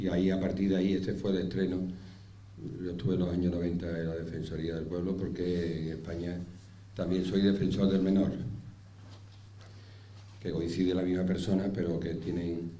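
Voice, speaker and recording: A man speaking off the cuff as a panelist, haltingly, repeating words and pausing mid-sentence. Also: deep voice, warm tone. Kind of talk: extemporaneous panel speech. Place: indoor round-table conference room. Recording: echoey room with faint noise.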